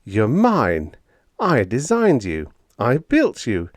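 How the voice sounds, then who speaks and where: A man's voice: mid-range voice, wildly swooping pitch, slow pace, dry recording, studio quality, pitched above the performer's own voice. A man voicing an exasperated, bossy factory owner in an audiobook, indignant and emphatic. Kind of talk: audiobook character dialogue.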